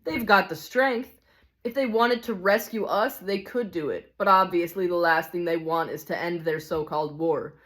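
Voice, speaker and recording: A man's voice: high-pitched, normal speed, fairly bright tone. A woman reading the part of a cynical, hard-bitten male ghost in a storybook, grumbling scornfully and insistently. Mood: bitter, scornful. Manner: scornful, insistent complaining with rhetorical questions.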